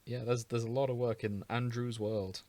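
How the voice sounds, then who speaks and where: A man's voice: mid-range voice, flat delivery, normal speed, darkish tone, no echo. A man co-hosting a podcast in casual banter among friends, swearing now and then. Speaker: podcast co-host.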